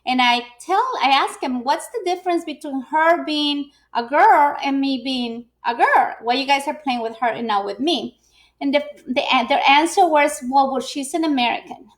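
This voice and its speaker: Middle-aged woman, high voice, chatty live-stream speaker who restarts often. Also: normal speed. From Ecuador. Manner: chatty, frequent fillers and restarts. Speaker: business owner and speaker. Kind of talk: live video presentation.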